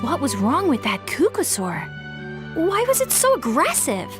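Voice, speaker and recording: A woman's voice: high-pitched, wildly swooping pitch, a little slow, over loud music, full character voice. A woman voicing a bossy, pert young tribeswoman in a video game, prodding and exclaiming. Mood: concerned.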